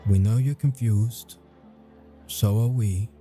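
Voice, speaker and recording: An actor playing a grumpy but caring military intelligence interrogator in an ASMR audio roleplay, speaking in measured, halting phrases. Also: male voice, fairly low pitch, warm tone, faint music behind. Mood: formal, measured. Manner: measured, halting, deliberate delivery.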